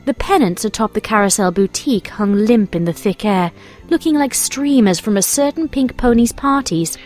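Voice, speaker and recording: An Englishwoman reading scripted storybook narration in a measured, expressive storytelling manner. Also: mid-range voice. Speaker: fan fiction reader and narrator. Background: music.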